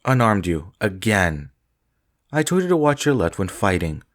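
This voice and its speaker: A man's voice: lowish voice, big pitch swings, normal speed. A man playing a stern vampire swordmaster in an audio drama, speaking in a curt, commanding, formal way. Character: stern, relentless male vampire swordmaster and trainer. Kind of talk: dramatic audio roleplay acting.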